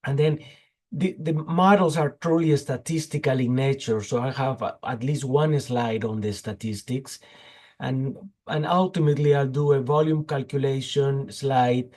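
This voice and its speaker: A man in his fifties, hoarse from a cold, giving an informal technical talk over a video call, halting with many fillers. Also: mid-range voice, a little mellow. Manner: halting, frequent fillers and restarts. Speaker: guest speaker, petroleum geologist.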